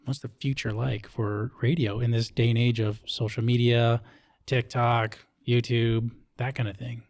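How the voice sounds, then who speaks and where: A man hosts a casual interview show, speaking conversationally at a middle pitch. The sound is close and dry, with very little room around it. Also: level tone, unhurried, darkish tone, no room sound. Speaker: show host.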